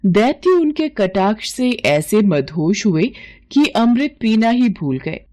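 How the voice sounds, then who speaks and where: A woman's voice: medium pitch, sing-song, slow pace, darkish tone. A woman narrating a scripted mythological bedtime story as a storyteller.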